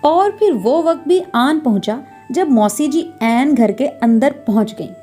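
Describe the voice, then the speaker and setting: High, mellow woman narrating a story. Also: animated, unhurried, faint music behind.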